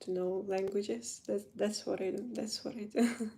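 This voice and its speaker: A young woman from Ukraine with a Ukrainian accent, chatting casually in English on a phone livestream and often pausing to search for words. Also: medium pitch, steady tone, vocal fry, dark tone, slight background noise. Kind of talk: casual livestream chat while doing makeup.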